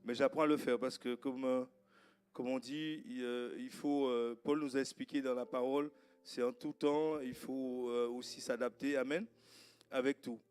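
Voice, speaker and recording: A man from Guadeloupe with a Guadeloupean accent, whose first language is Creole, greeting a congregation as guest preacher in a halting way with many fillers. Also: medium pitch, fairly even intonation, a little mellow, clear, resonant tone. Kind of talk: informal welcome before a sermon. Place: church service.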